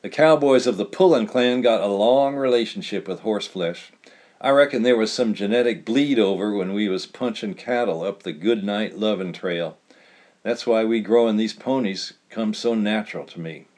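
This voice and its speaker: A man's voice: fairly low pitch, moderate pace, a little mellow, close to an everyday voice. A man voicing a boisterous old cowboy with a folksy drawl. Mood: gleeful.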